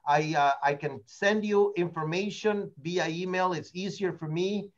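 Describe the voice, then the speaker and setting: Older man opinionated trainer with high voice. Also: measured pace, highs cut off, slight background noise. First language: not English. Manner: opinionated, digressive, repeats words. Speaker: real estate trainer. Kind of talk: rambling training talk. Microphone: meeting recording.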